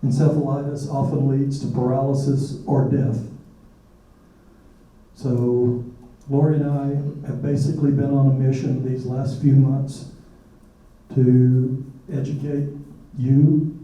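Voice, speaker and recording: A raspy-voiced man in his late sixties speaks to a room, flat and dark in tone, pausing often on um and uh. He is heard from some distance in a very echoey hall. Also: medium pitch. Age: 60s. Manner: halting, pauses with um and uh. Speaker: guest speaker sharing his illness story. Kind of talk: public talk at a community forum. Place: lecture hall. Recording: echoey hall, mic far off.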